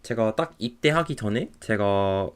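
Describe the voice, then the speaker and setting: Young male streamer, American accent, low-pitched, easygoing and rambling. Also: a little slow, clear, resonant tone. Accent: American English. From United States (Texas). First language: English. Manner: relaxed, rambling, laughs often. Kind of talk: casual chat while drawing.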